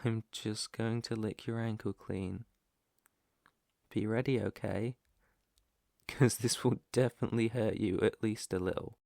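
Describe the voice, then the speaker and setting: Casting: male actor as protective British alpha werewolf, tender and naive, creaky voice, unhurried delivery, intimate ASMR roleplay. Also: fairly low pitch, steady tone, dry recording. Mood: tender, reassuring. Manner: soft, tender, unhurried, reassuring. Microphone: close microphone, dry.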